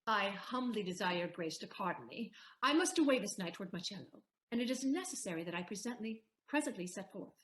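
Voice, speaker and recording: A woman acting a shrewd, poised young lawyer in a Shakespearean audio play, speaking formally and deliberately with a teasing edge. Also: medium pitch, lively intonation, a bit bright, echoey room, lightly acted. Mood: composed, formal. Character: shrewd, poised Portia disguised as a male lawyer.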